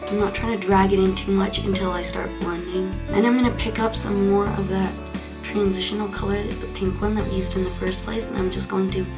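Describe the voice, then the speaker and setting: A young woman with a hoarse, raspy, congested voice, chatting casually through a makeup tutorial with plenty of fillers. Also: mid-range voice, level tone, brisk. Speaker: beauty vlogger. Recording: clear background music.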